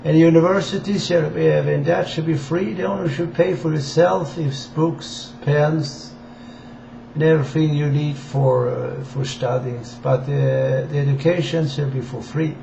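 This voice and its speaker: A man from Sweden with a Swedish accent gives an informal political address in halting, deliberate non-native English. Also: a little high, steady tone, creaky, warm tone. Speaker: amateur political campaigner addressing viewers. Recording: dull, echoey room with faint hiss.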